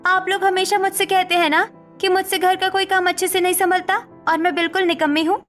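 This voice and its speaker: A woman plays an aggrieved young daughter-in-law in a cartoon family drama. Her voice is high, emphatic and plaintive, standing up for herself over faint background music. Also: little pitch movement, fast talker, fairly bright tone. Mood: plaintive, defiant. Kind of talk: Hindi cartoon family melodrama acting.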